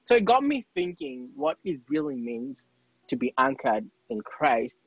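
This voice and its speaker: A man's voice: medium pitch, very animated, unhurried, slightly rough, dry recording, muffled recording. A man from Kenya hosting a podcast, telling a story and asking thoughtful questions. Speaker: podcast host.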